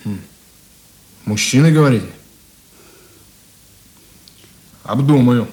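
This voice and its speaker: A man's voice: mid-range voice, normal speed, darkish tone, close to an everyday voice. An actor playing a henpecked, sweet-toothed man in a radio play, plaintive and hesitant, repeating himself. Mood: glum, unsure. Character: henpecked, sweet-toothed man grumbling about his in-laws.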